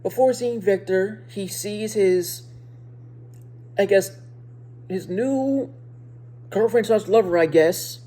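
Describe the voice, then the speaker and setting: Woman, video reviewer, dark mellow tone, mid pitch, speech impediment, halting delivery, repeated phrases, casual. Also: breathy edge, low-quality recording. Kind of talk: casual episode review to viewers.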